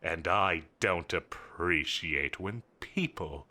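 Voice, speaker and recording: A man's voice: low voice, takes it slowly, sharp tone, exaggerated voice. A man playing a smug, menacing villain boss in an audio roleplay, with a theatrical, mocking, condescending delivery. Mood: mocking, cold. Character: smug, menacing male supervillain boss toying with his enemy.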